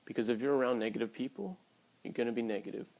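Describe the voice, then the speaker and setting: A man's voice: medium pitch, rapid-fire, vocal fry, darkish tone, poor audio. A man in his early twenties talking casually and candidly to his viewers in a blunt, sweary vlog style. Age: early 20s. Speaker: vlogger.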